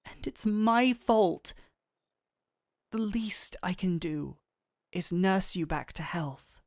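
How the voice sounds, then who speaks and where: A woman playing a gentle but firm, caring fantasy doctor in an intimate roleplay audio, speaking softly and earnestly to the listener. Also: on the low side, animated, no room sound, studio quality. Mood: earnest, guilty. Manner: soft, soothing, unhurried, earnest.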